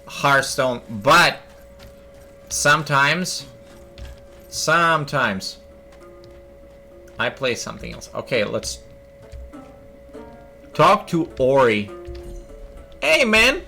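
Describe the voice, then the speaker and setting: A man's voice: medium pitch, very animated, extremely slow, gravelly, long pauses, sharp tone. A young man casually commenting on a video game as he plays, thinking aloud and joking. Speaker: streamer. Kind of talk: gaming commentary. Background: faint game music.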